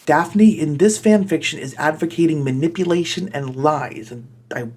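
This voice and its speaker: A man's voice: fairly high pitch, normal speed, a little mellow, breathy edge. A man hosting a comedy video, reading fan fiction in a theatrical way and breaking off with mock-outraged comments. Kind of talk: dramatic comic reading of fan fiction with exasperated asides.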